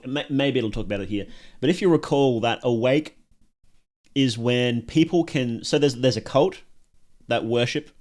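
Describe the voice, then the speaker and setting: Rambling, self-mocking male streamer. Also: medium pitch. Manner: rambling, self-mocking, laughs at his own jokes. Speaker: livestreamer reacting to videos. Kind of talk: casual live-stream commentary.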